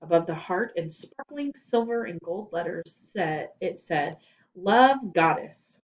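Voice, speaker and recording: Animated woman reading a children's story aloud. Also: lowish voice, unhurried, dull audio. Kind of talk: animated scripted narration.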